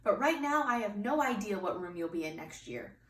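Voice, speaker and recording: A woman voicing a patient, polite kindergarten teacher from a storybook, explaining things gently. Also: medium pitch, animated, brisk, close to an everyday voice. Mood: apologetic. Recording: echoey room, distant mic, faint noise.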